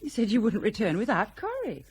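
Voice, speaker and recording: Proud, guarded, animated woman. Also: mid-range voice, breathy edge, full character voice. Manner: brisk, defensive, earnest. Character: proud, guarded professional woman, secretly in love. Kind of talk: radio drama acting.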